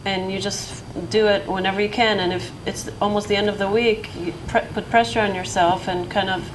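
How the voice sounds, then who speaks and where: A woman on an art school panel, talking hesitantly with many pauses and restarts. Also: medium pitch, steady tone. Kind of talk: panel discussion. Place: lecture hall. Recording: noisy room recording.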